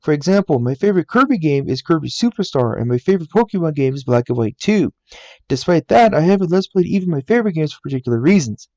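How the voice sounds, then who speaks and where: A young American man narrates his own gaming channel in a matter-of-fact, earnest way, his voice a little higher than most men's yet warm and mellow. It is recorded close on a laptop mic in a room at home, so it comes through dry and somewhat muffled. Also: sing-song, fast talker, no room sound. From United States. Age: 20s. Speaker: YouTuber. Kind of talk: scripted voice-over narration. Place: game room in a family home.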